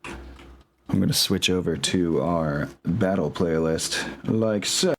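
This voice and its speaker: A man's voice: low-pitched, slow pace, background noise. A man with an easygoing, colloquial way of talking, chatting casually as the game master of a tabletop game.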